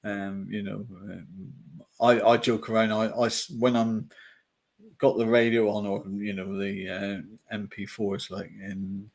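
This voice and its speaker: A man's voice: on the low side, little pitch movement, a little slow, gravelly. A man with a Devonshire accent, co-hosting a podcast in casual film chat, hesitant with many fillers and restarts. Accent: Devonshire English. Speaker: podcast co-host.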